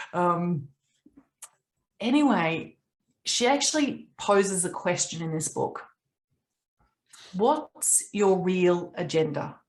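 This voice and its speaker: A woman in her late forties, raised in Papua New Guinea, coaching a group on a video call in an earnest, reflective way with long pauses. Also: fairly low pitch.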